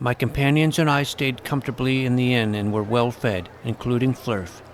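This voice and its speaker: A man's voice: medium pitch, fairly even intonation, normal speed, a little hiss or hum, lightly acted. A man playing a plucky boy hero, telling his own fantasy adventure in scripted first-person narration and dialogue. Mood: earnest storytelling. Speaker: narrator and lead character.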